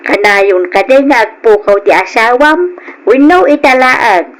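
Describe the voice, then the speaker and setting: A woman's voice: a little high, animated, a little slow. An actress playing a concerned mother advising her grown son in an Ilocano radio drama. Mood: questioning, concerned.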